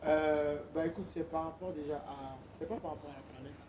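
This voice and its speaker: A man's voice: on the high side, steady tone, fairly quick, mellow, a little hiss or hum. A young man from Benin, a musician guest, talking casually in an interview with many hesitant fillers.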